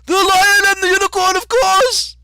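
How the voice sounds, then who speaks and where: Excitable storybook messenger boy-of-the-court type, acted by a male narrator, piercingly high and yelled. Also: unhurried. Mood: frantic. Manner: loud, shouting, piercing.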